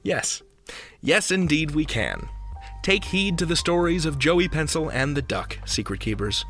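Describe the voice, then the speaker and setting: A man's voice: on the high side, animated, a little slow, a bit bright. A man hosting a podcast in a scripted, conversational storytelling style, playful and theatrical in his emphasis. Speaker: podcast host. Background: music bed.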